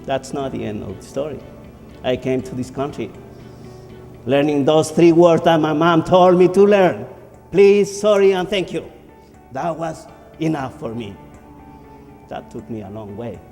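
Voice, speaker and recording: A man's voice: fairly high pitch, unhurried, gravelly, long pauses, mellow, big-room sound, soft background music. A man from Ecuador, giving a motivational speech as emphatic storytelling with repeated phrases. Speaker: motivational speaker.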